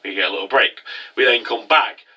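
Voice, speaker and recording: A man's voice: medium pitch, fairly quick, sharp tone, far from the mic. A young man with a cold, hosting a profane, rambling casual review show. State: congested from a cold. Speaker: anime review host. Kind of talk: casual recap commentary.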